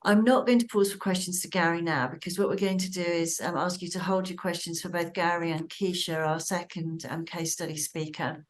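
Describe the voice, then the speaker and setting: A woman hosting an online webinar, introducing speakers with many pauses and restarts. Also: lowish voice, steady tone, a little hiss or hum. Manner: hesitant, many restarts and fillers. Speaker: webinar host.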